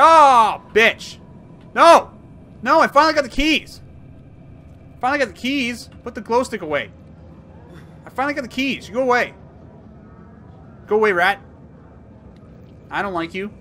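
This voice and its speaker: A man in his thirties commenting on a horror game he plays, rambling and jokey, swinging from mumbles to yells. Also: sits high, slight background noise. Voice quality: hoarse at times. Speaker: gaming YouTuber. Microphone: headset.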